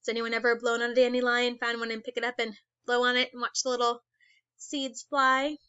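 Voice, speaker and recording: Bright-voiced woman reading to kids. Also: on the high side, level tone, clear, resonant tone, no echo, dull audio. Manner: reads slowly, pauses to ask questions. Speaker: teacher. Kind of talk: read-aloud lesson for children.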